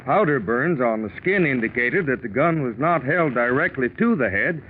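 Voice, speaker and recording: Actor as precise police captain, muffled old radio. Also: male voice, medium pitch, animated, moderate pace, close to an everyday voice. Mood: matter-of-fact. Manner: official, precise. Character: matter-of-fact male police captain testifying. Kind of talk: 1940s radio acting. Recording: muffled, noisy 1940s radio recording.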